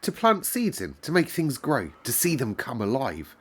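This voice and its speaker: A man's voice: mid-range voice, wildly swooping pitch, normal speed, husky, voice pushed up. A man reading aloud and voicing a sickly, sour little girl who speaks in an eager, pleading way. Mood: timid, pleading. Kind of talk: storybook read-aloud.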